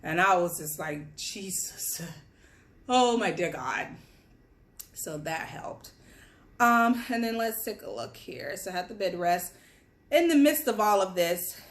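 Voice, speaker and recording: A woman's voice: on the low side, unhurried. A young woman from Guyana, pregnant and on bed rest, telling a candid personal story in a casual chatty way while sitting at a table at home. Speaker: vlogger. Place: home, at a table among boxes.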